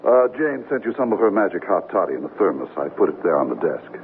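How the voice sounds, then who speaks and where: Polite, hesitant radio-comedy gentleman, tinny. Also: low voice, big pitch swings, fast talker. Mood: affable. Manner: courteous, hesitant, stammering politely. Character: polite, chatty gentleman named Tom, fond of 'old boy'. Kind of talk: 1970s radio comedy acting. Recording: tinny, noisy 1979 radio broadcast.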